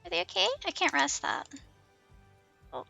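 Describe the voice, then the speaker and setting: Girly high voiced woman streamer chatting thru her game, kinda flustered, bit of vocal fry, music in the back. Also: wildly swooping pitch, normal speed, bright, forceful tone. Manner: giggly, easily flustered, self-talking. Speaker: livestreamer. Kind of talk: casual live gameplay commentary. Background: background music.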